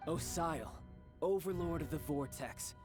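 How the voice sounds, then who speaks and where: A man's voice: a little high, unhurried, lightly acted. A man playing a confident, battle-hungry young villain in a game cutscene, speaking formally and articulately with smug theatrical poise. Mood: menacing, intrigued. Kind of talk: video game cutscene acting. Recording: loud game music behind.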